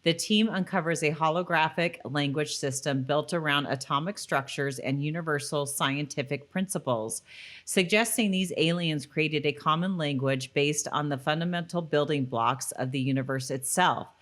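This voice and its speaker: A woman with a low-pitched voice co-hosts a rewatch podcast. She talks casually, tends to be giggly, and moves between chatting and reading recaps. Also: normal speed, a bit bright. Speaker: podcast co-host.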